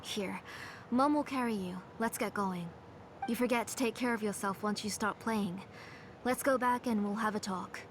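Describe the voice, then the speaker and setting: A woman voicing a calm, gently firm mother in a video game. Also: mid-range voice, normal speed, slight background noise, close to an everyday voice.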